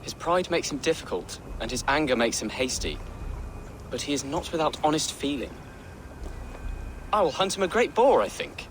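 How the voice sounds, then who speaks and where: A man's voice: on the high side, animated, normal speed, pauses often, background noise, natural voice. An actor playing an earnest, kindly young medieval nobleman in a video game, speaking courteously.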